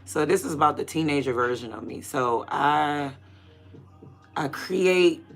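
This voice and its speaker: A woman in her thirties with a naturally raspy voice, chatting candidly and rambling on a casual livestream. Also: sits low, unhurried. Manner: candid, rambling, drifts between loud and murmured asides.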